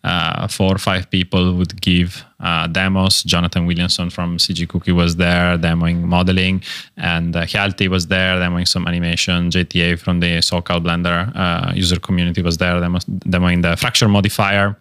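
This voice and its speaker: A man's voice: sits low, completely flat delivery, moderate pace, fairly bright tone, clear, resonant tone, no echo, clean studio sound. A man hosting a podcast in casual chat, talking with enthusiasm and rambling through many fillers. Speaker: podcast host.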